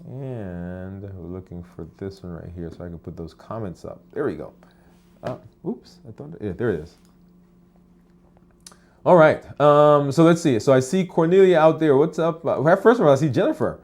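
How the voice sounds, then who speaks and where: Man, friendly and chatty, live-stream host, mid-pitched voice, talking from his office. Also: expressive, normal speed, a little mellow, slight background noise. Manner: friendly, chatty, many fillers and restarts. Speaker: physician hosting a live stream. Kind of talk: casual live-stream talk answering viewer comments.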